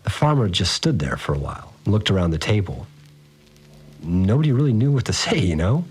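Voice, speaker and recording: A man's voice: fairly low pitch, big pitch swings, brisk, breathy edge, exaggerated voice. An actor playing a rattled, slangy, laid-back guy, telling his story conversationally in an audio drama. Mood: subdued, puzzled. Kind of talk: informal first-person storytelling in audio drama.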